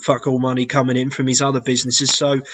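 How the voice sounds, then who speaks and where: A man co-hosting a casual fan football chat, sweary and halting with many restarts. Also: mid-range voice, flat delivery, slight background noise.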